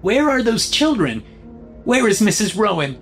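Man acting a high, stern older woman teacher. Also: very animated, unhurried, soft background music. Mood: firm questioning. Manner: indignant, demanding. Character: stern, fearless gray-haired woman schoolteacher. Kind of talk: audiobook character acting.

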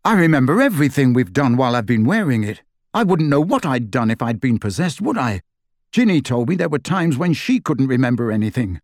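A man's voice: medium pitch, very animated, brisk, a little mellow, no room sound, clean studio sound. Man doing a teenage boy wizard, defensive, stubborn, firm.

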